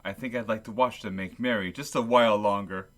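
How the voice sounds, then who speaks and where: A man's voice: fairly low pitch, steady tone, a little fast, a bit bright, close to an everyday voice. A man playing a humbled old miser in a radio play. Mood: humbled, wistful. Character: old miser Scrooge, humbled and watching his family.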